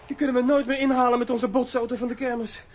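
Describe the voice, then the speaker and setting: A woman's voice: on the high side, flat delivery, quick pace, a little hiss or hum, lightly acted. A woman playing a brave, sensible, bossy young boy in a children's radio play, earnest and commanding.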